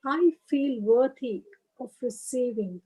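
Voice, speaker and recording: Slow, high-voiced woman guiding meditation. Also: warm tone, slightly rough, low-quality recording. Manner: unhurried, short pause-separated phrases. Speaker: meditation guide. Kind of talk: guided meditation.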